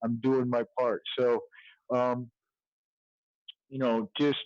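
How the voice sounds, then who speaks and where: A hoarse man chatting casually and passionately on a livestream panel, rambling, swearing and using slang. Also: medium pitch, level tone, takes it slowly, a little mellow, no room sound. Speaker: activist journalist on a livestream panel. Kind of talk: casual impassioned panel chat. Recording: dull lo-fi stream audio.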